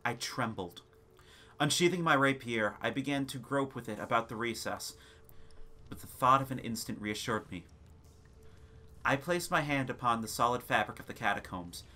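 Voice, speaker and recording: A man reading a gothic tale as a theatrical, deliberate dramatic narration. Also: mid-range voice, lively intonation, sharp tone. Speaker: narrator of a gothic short story. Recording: noisy ambience sound bed. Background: background ambience and sound effects.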